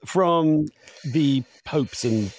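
A man's voice: fairly high pitch, extremely slow, dry recording, studio quality. A man speaking emphatically and with strong opinions in a conversational interview, as a podcast host.

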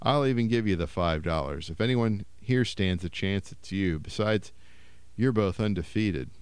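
A man reading aloud, voicing a wary, doubtful young man in close to his own low voice. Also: normal speed, clear, resonant tone, dry recording, natural voice.

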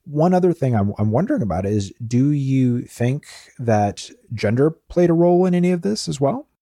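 A man's voice: mid-range voice, animated, moderate pace, mellow, dry recording, clean studio sound. A man hosting an interview, asking curious, thoughtful questions and reflecting back what he hears. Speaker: podcast host. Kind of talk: interview conversation.

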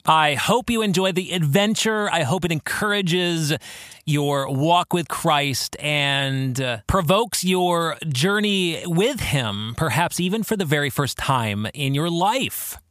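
A man hosting a solo podcast in a reflective way, speaking earnestly with deliberate, emphatic phrasing. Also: fairly high pitch, expressive, fairly bright tone, dry recording, studio quality. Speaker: podcast host and author.

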